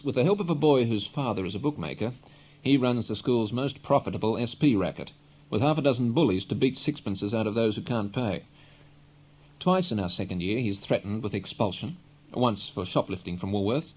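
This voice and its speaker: A man reading a literary novel aloud in an expressive, measured storytelling manner. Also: medium pitch, poor audio. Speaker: audiobook reader. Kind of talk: literary narration, read aloud.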